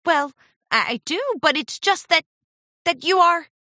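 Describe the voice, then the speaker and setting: A woman's voice: high voice, big pitch swings, normal speed, sharp tone, no room sound, studio quality, voice pushed up, full character voice. A woman voicing an eager, gushing young female pony apprentice in a storybook, with an earnest girlish manner. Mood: upset, hurt. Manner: earnest, girlish, stammering when flustered.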